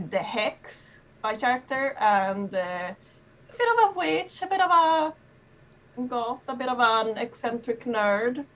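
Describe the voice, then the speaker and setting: A woman's voice: mid-range voice, fairly bright tone, poor audio. A woman from Sweden introducing her character in a giggly, rambling way. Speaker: player. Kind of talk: casual chat.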